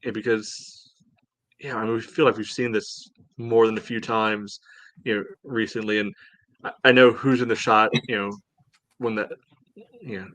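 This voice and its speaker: A man's voice: lowish voice, fairly even intonation, normal speed, pauses often, a bit bright, no echo, low-quality recording. A man co-hosting a podcast, discussing soccer casually and tentatively, often padding his points with filler phrases. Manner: hedging, conversational, frequent "you know". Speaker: podcast co-host, soccer fan. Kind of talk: casual sports analysis chat.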